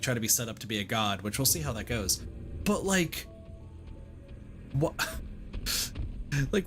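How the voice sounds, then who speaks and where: A game streamer chatting casually on a livestream in a blunt, sweary, rambling way, saying "like" a lot. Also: mid-range voice. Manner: rambling, repetitive, heavy use of "like". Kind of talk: casual livestream chat over gameplay. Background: video game music.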